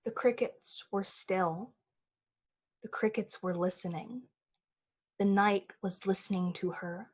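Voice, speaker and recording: A woman's voice: mid-range voice, slow, long pauses, a little mellow, husky, highs cut off. A woman playing the storyteller of a thriller, narrating intensely and with suspense in the manner of a 1940s radio play. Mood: hushed suspense. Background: music.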